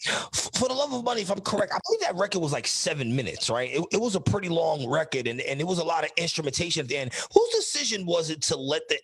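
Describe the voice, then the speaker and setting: A man's voice: fairly high pitch, very animated, fast talker, gravelly, fairly bright tone, slightly rough, no echo. A man hosting a live interview show, asking long multi-part questions and relaying viewer questions. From United States. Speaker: interview host.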